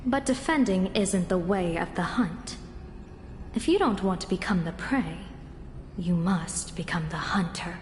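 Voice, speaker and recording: Composed, low-voiced woman general, played by an actress. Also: measured pace, darkish tone, slight background noise, close to an everyday voice. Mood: resolute. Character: poised, shrewd female general, calm and wryly amused. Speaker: military commander. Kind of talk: video game voice acting. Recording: roomy echo with game music behind.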